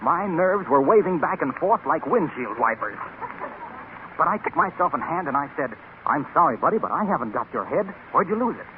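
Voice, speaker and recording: A man's voice: a little high, very animated, fairly quick. A man playing a genial announcer in a 1930s radio comedy, speaking in a polished storytelling and salesman style. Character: genial announcer spinning a tale into a sales pitch. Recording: tinny, noisy 1930s radio recording.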